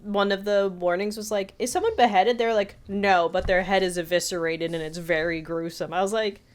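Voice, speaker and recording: A woman's voice: medium pitch, fairly even intonation, brisk. A woman co-hosting a casual podcast, chatty and given to telling things as quoted back-and-forth. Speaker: podcast co-host.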